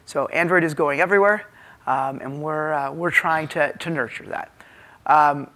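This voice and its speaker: A man's voice: a little high, steady tone, pushed and bright. An American man in his thirties giving an informal conference keynote with frequent hesitations. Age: 30s. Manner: halting, filler-heavy, informal delivery. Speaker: keynote speaker, tech executive. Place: hotel conference ballroom stage, indoors. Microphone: lapel mic.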